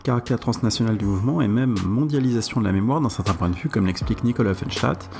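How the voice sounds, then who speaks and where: A male host reads a script at a fast clip. His voice sits fairly low and has a gravelly creak. Music plays clearly behind him. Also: darkish tone. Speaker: podcast host introducing guests. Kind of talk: scripted narration.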